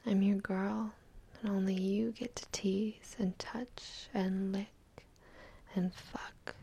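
A young adult woman performing an intimate audio roleplay, speaking mostly in a soft, teasing whisper. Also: mid-range voice, vocal fry, breathy edge.

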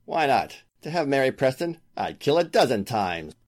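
A man's voice: mid-range voice, sing-song, moderate pace, lightly acted. A man playing a scheming, formal lawyer with a killer's intensity in a 1940s-style radio drama. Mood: cold menace. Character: scheming, smooth-talking male lawyer turned desperate killer. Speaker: voice actor.

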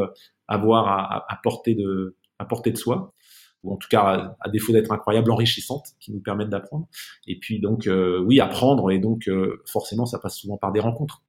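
A man's voice: fairly low pitch, a little mellow. A man speaking as a podcast guest in a casual, reflective interview, searching for his words and often repeating them.

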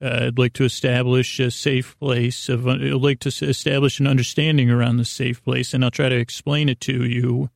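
Guy doing a bedtime podcast, gravelly creaky voice, rambles and repeats himself, very dry close sound. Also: mid-range voice, level tone, no room sound. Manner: meandering, halting, circles back and repeats phrases. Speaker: host of a bedtime-story sleep podcast. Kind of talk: rambling monologue meant to lull listeners to sleep.

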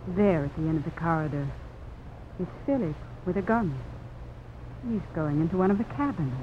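An actress playing a selfish, scornful belle with a theatrical, imperious 1950s radio drama delivery. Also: female voice, fairly low pitch, moderate pace, natural voice. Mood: urgent. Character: selfish, scornful Southern belle, unfaithful gambler's wife. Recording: muffled old radio broadcast, faint hiss.

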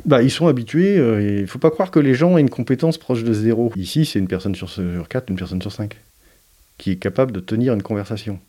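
A man speaks low and soft-toned in an interview, reflecting slowly on family life. He pauses often and fills gaps as he searches for words, his timbre warm and dark. The delivery stays thoughtful and unhurried. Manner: hesitant, thoughtful, frequent fillers. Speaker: interviewee, parent.

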